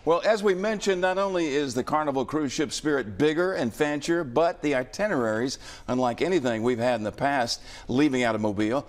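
An older man anchoring the news from a TV studio, relaxed and bantering with the reporter. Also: medium pitch, fairly quick, hardly any pauses.

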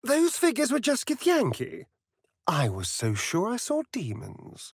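A man with a British accent and a breathy voice playing a vain, sardonic, flirtatious vampire spawn in a video game, with an arch, mocking drawl. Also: high-pitched, huge pitch swings, a little slow, no echo, clean studio sound, heavily acted. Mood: pondering, irritated. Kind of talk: theatrical video game character acting.

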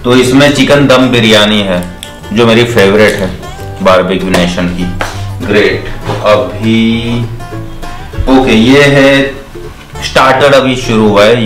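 A man's voice: on the low side, fairly bright tone. A young man chatting casually as he unboxes takeaway food for his vlog and counts the items aloud. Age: young adult. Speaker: vlogger. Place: bare indoor room, seated on floor. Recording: echoey room with faint music.

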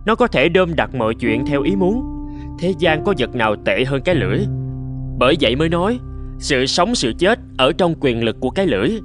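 An actor playing a humble but clever young slave in a cartoon folk tale, deferential and articulate. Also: male voice, fairly high pitch, big pitch swings, brisk. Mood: explaining confidently. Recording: music behind the voice.

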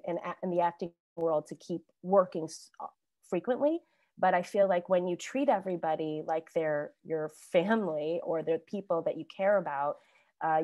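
A woman's voice: fairly low pitch, dry recording. Chatty woman on a dry video call. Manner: chatty, animated, laughs often, many restarts. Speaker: alumni panelist, actor. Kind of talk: casual storytelling on a video call panel.

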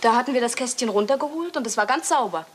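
A woman's voice: on the high side, expressive, quick pace, a little hiss or hum, natural voice. An actress playing a frank, chatty young woman of the household in a German crime radio play. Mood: frank, casual.

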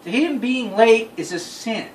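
A man's voice: very high-pitched, animated, measured pace. Emphatic young man, roomy distant recording. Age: young adult. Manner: exasperated, emphatic, repetitive. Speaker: storyteller. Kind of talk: casual storytelling among friends. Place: small bedroom, indoors. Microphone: phone camera across the room. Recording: roomy, noisy recording, distant phone mic.

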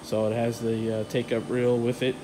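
A man gives a relaxed tour of his print shop, explaining machines with plenty of "uh"s and "um"s in an even, level tone. His voice comes from a handheld phone as he walks through offices and a warehouse. A clear air-conditioning hum runs underneath. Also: mid-range voice, moderate pace. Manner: relaxed, technical, many fillers. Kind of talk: casual walkthrough tour. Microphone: handheld phone camera, filming while walking.

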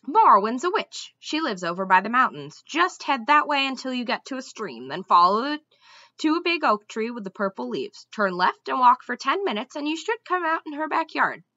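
A woman plays a huffy, opinionated mother squirrel in a children's storybook. She scolds and lectures with great emphasis. Her pitch leaps about, and the sound is bright and sharp. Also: very animated, normal speed, no room sound, muffled recording, full character voice. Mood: brisk, huffy.

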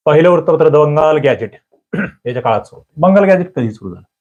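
A man teaching an exam prep lesson, quizzing his listeners with lively questions, then answering them himself. He often clears his throat. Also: on the high side, quick pace, muffled recording. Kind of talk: quiz-style exam prep lecture.

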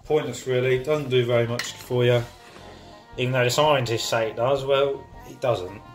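A man's voice: mid-range voice, fairly even intonation, moderate pace, faint music behind. A young man, chatting casually and joking while he cooks in his home kitchen, filming on a handheld phone. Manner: chatty, jokey, rambling asides. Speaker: home cooking vlogger. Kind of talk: casual cooking vlog. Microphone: handheld phone camera.

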